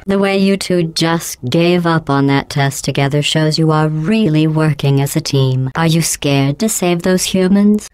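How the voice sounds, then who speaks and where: A woman voicing a cold, sarcastic female AI overseer in a video game, speaking in a deadpan, mocking, clipped and measured way through a synthetic computer voice effect. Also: lowish voice, clean tone, no echo.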